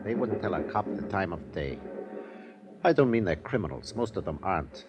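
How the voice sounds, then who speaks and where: An actor playing a streetwise, world-weary police detective in a 1950s radio drama, speaking in blunt slang. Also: male voice, low voice, lively intonation, moderate pace, darkish tone, soft background music. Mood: bitter, weary. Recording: noisy vintage 1950s radio recording.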